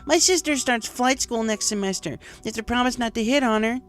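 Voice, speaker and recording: A man's voice: extremely high pitch, brisk, fairly bright tone, soft background music, pitched above the performer's own voice. A man voicing a young pony school bully in a storybook, mocking and teasing. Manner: mocking, sing-song teasing.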